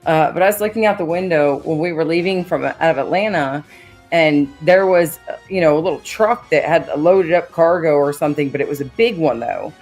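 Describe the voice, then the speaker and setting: A woman's voice: sits low, a little fast, darkish tone, soft background music. A woman co-hosting a live-stream trivia night, chatting and reading quiz options aloud. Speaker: stream co-host.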